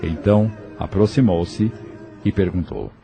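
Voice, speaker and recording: A man narrating an audio novel from a script in a measured storytelling manner. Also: low-pitched, gravelly. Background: music.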